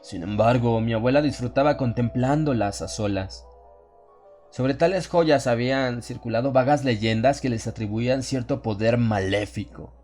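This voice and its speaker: A man reading a first-person horror story as a scripted audiobook, with measured, solemn and dramatic delivery. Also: medium pitch, darkish tone, faint music behind. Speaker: audiobook narrator.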